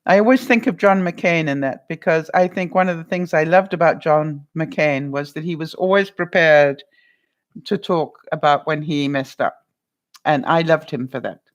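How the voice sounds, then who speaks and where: A woman vlogger chatting casually on a livestream, answering viewers by name, lively and quick to laugh, with a loud voice that carries. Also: low voice, highs cut off. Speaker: vlogger answering live chat.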